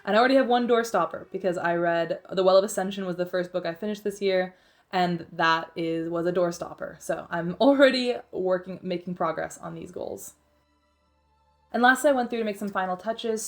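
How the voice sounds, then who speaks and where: A young woman chatting casually as she narrates her own vlog, self-deprecating and full of filler words. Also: on the low side. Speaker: book vlogger.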